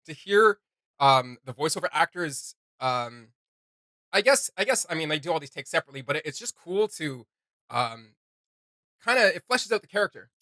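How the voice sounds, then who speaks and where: An enthusiastic man hosts a reaction video in casual, slangy talk. His voice is bright and forward, and the recording is close and dry. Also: fairly high pitch, very animated, normal speed, no room sound.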